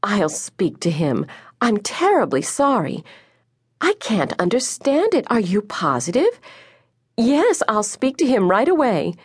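Storybook housewife mother, acted by a female narrator: high, swooping, chirpy, sugary and fluttery. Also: big pitch swings, slow, few pauses, husky, dry recording, studio quality, full character voice. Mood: flustered, apologetic. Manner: chirpy, effusive, over-sweet.

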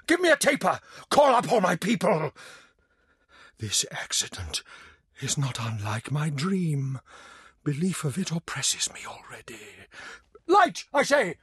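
A man's voice: fairly high pitch, huge pitch swings, unhurried, a bit bright, husky, no room sound, studio quality, full character voice. An actor playing an indignant aging senator father, commanding and angry, in a dramatised audiobook.

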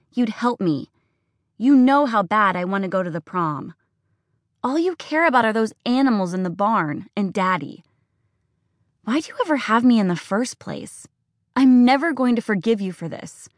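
A woman's voice: fairly high pitch, darkish tone, no echo, studio quality, voice pushed up, lightly acted. A woman voicing a defiant, tearful teenage farm girl who pleads and accuses.

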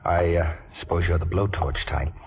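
An actor playing a tough, wisecracking private detective with wry, clipped, menacing slang in 1940s radio style. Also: male voice, deep voice, steady tone, normal speed. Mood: wry, brisk. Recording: noisy vintage 1940s radio recording.

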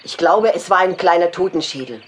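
A woman's voice: fairly low pitch, lively intonation, a little fast, a little hiss or hum, lightly acted. A woman playing an indignant, stubborn eyewitness in a German audio drama, speaking emphatically and easily offended. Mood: earnest. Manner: emphatic, huffy.

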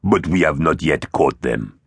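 A man voicing a menacing dinosaur palace guard captain in a storybook, with a croaking snarl and a curt, formal, commanding manner. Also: very deep voice, lively intonation, a little slow, no echo, studio quality, exaggerated voice. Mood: cold, formal.

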